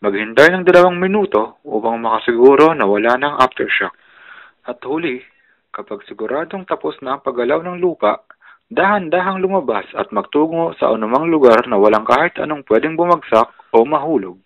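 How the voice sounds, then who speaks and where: A man's voice: fairly high pitch, expressive, a little fast. A man reading a scripted public service announcement in an instructive manner. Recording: narrow, tinny radio-like sound.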